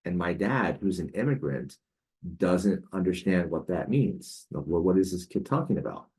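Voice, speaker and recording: A man's voice: deep voice, expressive, normal speed, darkish tone. A man in his forties, a podcast guest, telling a personal story in a casual, hesitant way with many fillers and restarts. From United States.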